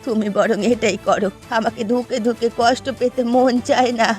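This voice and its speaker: A woman's voice: a little high, steady tone, moderate pace, darkish tone, close to an everyday voice. A woman playing a doting, long-suffering ageing village mother in a Bengali cartoon, speaking earnestly and plaintively. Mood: sorrowful. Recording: background music under dialogue.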